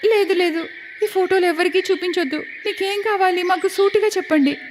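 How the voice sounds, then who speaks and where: A woman's voice: very high-pitched, normal speed, background noise. A woman voicing an anxious, principled young village daughter-in-law in a cartoon story, pleading and earnest. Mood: frightened pleading.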